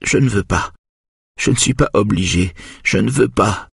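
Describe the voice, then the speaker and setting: A frightened teenage boy under hypnosis, his voice pushed well above its usual low register as a male narrator puts him on. The tone is husky and close, and it climbs as the panic builds. It sounds like an audiobook reading, dry and intimate. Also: steady tone, moderate pace, no room sound. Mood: plaintive. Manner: frantic, breathless, rising panic.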